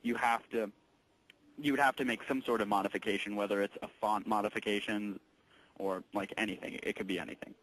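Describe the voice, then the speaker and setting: Low-voiced man explaining tech, halting and informal. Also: fairly even intonation, fairly bright tone, rough recording. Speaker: electronics store tech support employee taking a call. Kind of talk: casual explanatory phone conversation.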